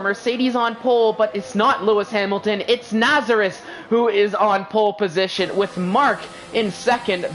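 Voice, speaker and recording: A man's voice: high voice, fairly bright tone, slight background noise. Casting: upbeat male play-by-play voice for sim racing streams, loose and chatty. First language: English. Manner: chatty, filler-heavy, upbeat. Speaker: esports racing commentator. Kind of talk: live race commentary.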